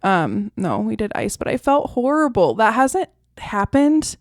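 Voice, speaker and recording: A woman's voice: on the high side, very animated, measured pace, dry recording, clean studio sound. A woman co-hosting a podcast, telling stories casually with lots of fillers and laughter. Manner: chatty, many fillers, bursts of laughter. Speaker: podcast co-host.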